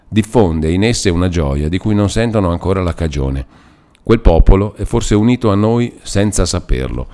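A man's voice: low voice, mellow. A man reading a classic novel aloud, voicing a kind elderly cardinal who speaks solemnly and tenderly.

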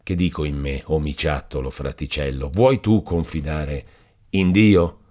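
Brief: solemn older friar, grave and firm, raised deep voice, as a male narrator voices him. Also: a little slow, darkish tone. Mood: stern. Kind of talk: audiobook character voice.

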